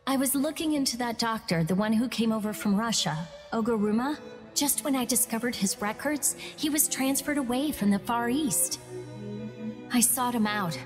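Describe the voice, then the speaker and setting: Woman acting gentle young woman soldier, earnest, slightly high voice, anime game dub, reverb, music behind. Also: normal speed, natural voice. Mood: troubled, suspicious. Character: caring, worried young woman soldier searching for truth.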